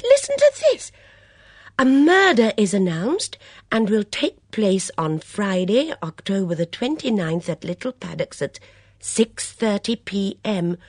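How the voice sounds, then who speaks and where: A woman's voice: fairly low pitch, breathy edge, no echo, clean studio sound, voice pushed up, heavily acted. A woman voicing a chattering, fussy middle-aged mother who prattles with disapproving asides.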